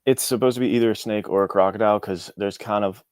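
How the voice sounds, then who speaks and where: A man with a deep voice, recorded close and dry, chats as a guest author. He explains things informally and at length, with an enthusiastic, rambling manner. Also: steady tone, fast talker, a little mellow, clean tone, no room sound. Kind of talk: casual conversational interview.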